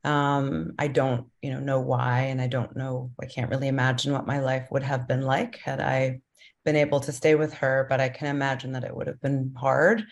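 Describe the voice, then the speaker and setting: Very low-voiced thoughtful woman, filmmaker. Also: little pitch movement, normal speed. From Colombia. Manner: thoughtful, many ums and restarts. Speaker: documentary director. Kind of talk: panel conversation.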